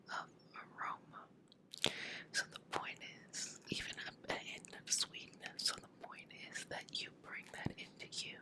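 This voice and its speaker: A woman whispering a soothing ASMR energy healing session in a breathy, unvoiced voice, gently repeating short phrases. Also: poor audio. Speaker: ASMR reiki energy healer.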